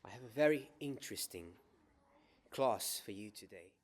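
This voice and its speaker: A young man playing a gentle wizard professor in an ASMR roleplay, soft-spoken and often whispering, measured and encouraging. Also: on the low side, expressive, slight background noise, lightly acted. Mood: calm, encouraging. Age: young adult. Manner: measured, encouraging teacher, repeating words softly. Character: gentle wizard professor teaching a magic class.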